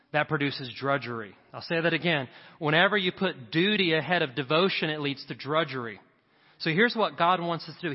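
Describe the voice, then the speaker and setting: A man preaching a sermon to a congregation, emphatic and repeating his key lines for effect. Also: a little high. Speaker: pastor. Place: church sanctuary. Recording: reverberant hall, dull sound. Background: church congregation.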